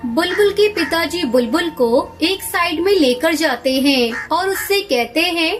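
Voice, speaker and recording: Woman narrator, extremely high voice, scripted children's storytelling, faint music behind. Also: expressive, unhurried. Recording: music under the voice.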